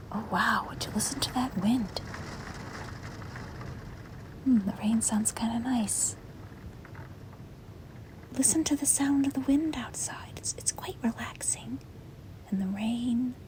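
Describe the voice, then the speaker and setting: A woman playing a reluctant, apologetic sleep paralysis demon in a soft, hesitant, breathy ASMR whisper. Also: on the high side. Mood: tentative, soothing. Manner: hesitant, rambling, soft-spoken. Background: rain and wind.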